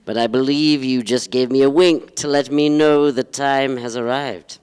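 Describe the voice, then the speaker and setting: Actor as pompous poet emcee in reverberant hall. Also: male voice, medium pitch, steady tone, moderate pace, darkish tone, clear, resonant tone, close to an everyday voice. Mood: pompous, courtly. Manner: pompous, ponderous. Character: pompous, wistful poetry-reading emcee. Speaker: stage actor in a staged reading. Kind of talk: solemn poetry recital and florid emcee patter. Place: theatre stage, live performance. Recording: reverberant live theatre hall.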